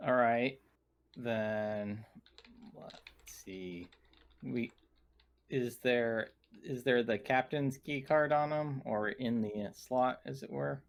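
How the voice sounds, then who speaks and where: A man's voice: medium pitch, takes it slowly, gravelly. Male tabletop player, middle register, easygoing casual game chat over an online call.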